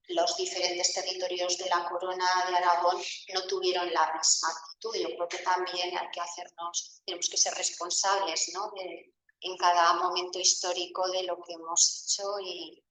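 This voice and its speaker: A woman professor speaks earnestly in a low, even voice with little melody. She sometimes corrects herself mid-sentence. She sounds distant, in a strongly reverberant hall. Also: slight background noise. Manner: earnest, stresses responsibility, some self-corrections. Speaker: university professor. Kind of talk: lecture and panel talk. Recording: very echoey hall, mic far off.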